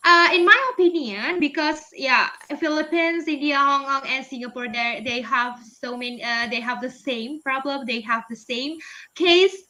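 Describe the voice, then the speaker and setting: A woman's voice: high-pitched, normal speed, bright, forceful tone, low-quality recording. A young woman with an Indonesian accent, answering webinar questions in a chatty way, laughing often, with many fillers and restarts. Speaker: guest speaker, English teacher.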